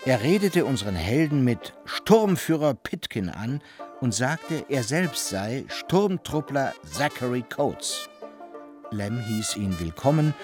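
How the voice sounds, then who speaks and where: Lively, swooping male radio-play narrator. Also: medium pitch. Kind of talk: scripted literary narration in a German radio drama.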